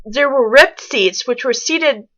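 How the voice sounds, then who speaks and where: A woman's voice: sits high, takes it slowly, a bit bright, dull audio. A woman reading a story aloud, stumbling and rereading lines as she goes and dropping in mocking asides. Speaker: reader on a fan fiction reaction show.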